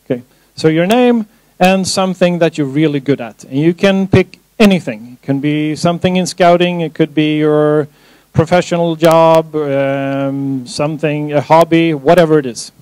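A man's voice: a little high, moderate pace, a little mellow, clear, resonant tone, dry recording, low-quality recording. Man leading a workshop, dry sound. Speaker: course trainer. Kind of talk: workshop instructions to a group. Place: conference room, standing at front.

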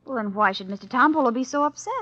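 An actress playing a perky, curious amateur-sleuth wife in a 1950s radio drama. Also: female voice, on the high side, lively intonation, rapid-fire, sharp tone. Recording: dull, lo-fi 1950s radio recording.

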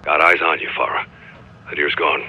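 An actor playing a steady, loyal special-forces operative in a video game, with clipped tactical delivery. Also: male voice, very deep voice, normal speed, dull audio, slight background noise, heavily acted. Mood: focused. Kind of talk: video game military dialogue.

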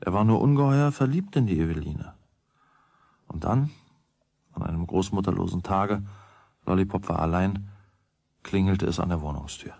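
A man's voice: low-pitched, normal speed, creaky, long pauses, a little mellow, no echo. A man narrating a children's radio play in a scripted storytelling style.

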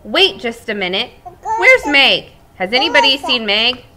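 A woman voicing a chatty, cheerful male egg in a storybook read-aloud, with bouncy, expressive delivery. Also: high-pitched, a little slow, a crowd in the background, voice pushed up. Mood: searching.

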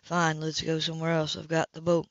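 A woman's voice: deep voice, monotone, fairly quick, no room sound, voice pushed down. Woman reading as an easygoing teasing man, dull sound. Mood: teasing, insistent. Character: easygoing, teasing, confident man sailing his boat. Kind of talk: amateur audiobook dialogue.

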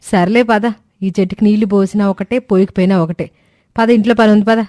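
A woman's voice: medium pitch, steady tone, brisk, mellow, dry recording, clean studio sound, natural voice. A woman voicing an irritable, bossy middle-aged village mother-in-law in a cartoon story, scolding and sarcastic. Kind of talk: cartoon moral-story voice acting.

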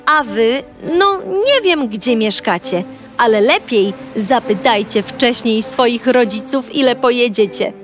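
A woman narrating a children's audiobook as a cheerful young girl, talking brightly and directly to young listeners. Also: fairly high pitch. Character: cheerful young girl telling her own story. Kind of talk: first-person storybook narration for children.

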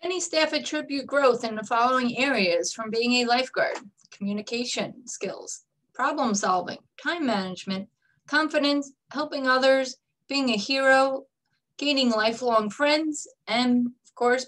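A woman presenting a scripted recruitment pitch to students as a staffing director, reading lists in a brisk upbeat tone. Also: a bit bright.

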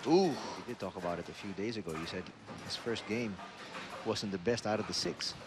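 A man's voice: lowish voice, brisk, loud music behind the voice. A man giving play-by-play commentary on a bowling match, his calls rising on the key shots. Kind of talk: sports commentary. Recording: loud broadcast background noise.

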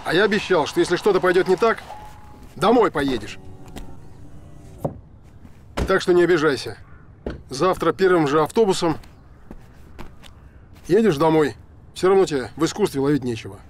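Male, 40s actor, exasperated authority figure, blunt reprimands, medium-high voice, outdoor drama scene, faint noise. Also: expressive, brisk, long pauses, natural voice. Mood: stern. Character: stern, exasperated stage director scolding young protégé. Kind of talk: naturalistic screen drama acting. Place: outdoors in a city square.

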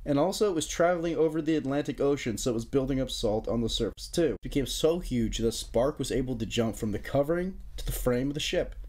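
A man's voice: mid-range voice, expressive, fast, hardly any pauses, a little mellow. A young American man narrating an explainer in a casual, joking way, with informal wry asides. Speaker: narrator of an amateur history explainer video.

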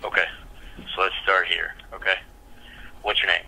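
A man's voice: deep voice, flat delivery, normal speed, vocal fry, bright, forceful tone. A man working as a recruiter on a phone call, direct and informal, heard through a phone speaker. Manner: direct, questioning, colloquial. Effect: telephone through speaker. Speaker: Navy recruiter. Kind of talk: brisk, informal phone conversation.

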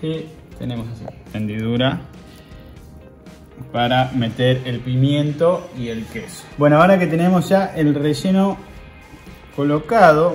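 A man in his thirties with an Argentine accent, hosting a cooking show and calmly talking viewers through each step as he works. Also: mid-range voice. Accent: Argentine Spanish. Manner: talks viewers through steps while working with his hands. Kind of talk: casual cooking demonstration. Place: outdoor grill patio.

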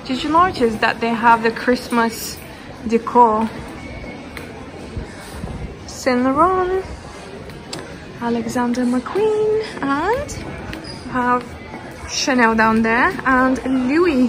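A woman's voice: sits high, slow pace, long pauses, a crowd in the background, low-quality recording. A young woman chatting casually and enthusiastically to camera as she vlogs a shopping trip. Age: young adult. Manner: enthusiastic, gushing. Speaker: fashion vlogger. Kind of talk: casual shopping vlog chat. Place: inside a large department store and boutiques. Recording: busy store background, music playing.

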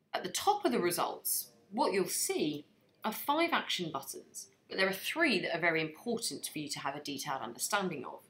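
A woman narrating a scripted software training tutorial in an instructional manner, explaining things step by step. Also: lowish voice, slight background noise, poor audio.

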